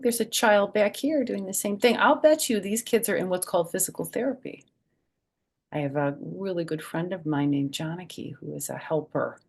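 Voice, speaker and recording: A woman with a fairly low, gravelly voice leads a storytime for children. She reads picture-book rhymes expressively and explains things in simple, chatty language. There is a noticeable creak and rasp in her delivery. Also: darkish tone.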